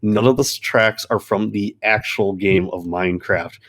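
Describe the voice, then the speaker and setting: A man's voice: lowish voice, moderate pace, a bit bright. A man hosting a gaming podcast, ranting casually in an exasperated, theatrical, profanity-heavy way.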